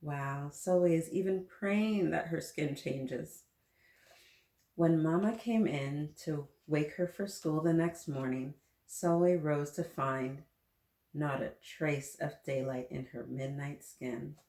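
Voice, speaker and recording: A woman reading a picture book aloud to young children, gently encouraging them and pausing to ask them questions. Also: low-pitched, echoey room. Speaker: children's story-time host reading aloud.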